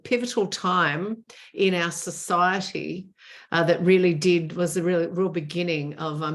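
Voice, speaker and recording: A woman in her sixties, a guest in a conversational interview, speaking earnestly and emphatically and repeating words for stress. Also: lowish voice.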